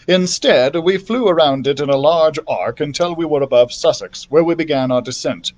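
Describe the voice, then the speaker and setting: A man reading a children's book aloud as scripted narration, in an expressive storyteller's manner. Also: a little high. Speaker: library storyteller.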